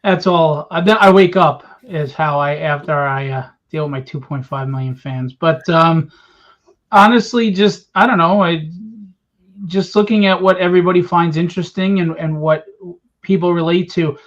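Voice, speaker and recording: Male panel guest, lightish higher pitch, casual, slightly dull lo-fi audio. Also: fairly even intonation, normal speed, a bit bright.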